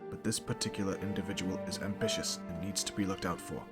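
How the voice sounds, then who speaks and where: Give me a man playing a commanding, formal fantasy knight officer with a low voice over clear background music. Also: fairly even intonation, fast, close to an everyday voice. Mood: stern, commanding. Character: stern male knight officer briefing a young squire. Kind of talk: scripted fantasy audio roleplay acting. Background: music behind the voice.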